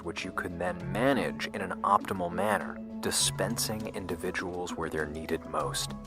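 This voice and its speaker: An actor playing an embittered, world-weary scientist in an audio drama, intense and confiding in manner. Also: male voice, deep voice. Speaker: actor in fiction podcast. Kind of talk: audio drama dialogue and narration.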